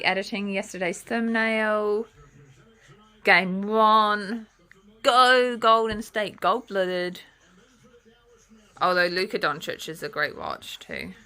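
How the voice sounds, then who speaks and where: A young woman, chatting frankly to camera in a vlog with lots of fillers, her voice a bit croaky from being unwell. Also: mid-range voice, sharp tone. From New Zealand. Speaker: book vlogger. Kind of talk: casual chat to camera.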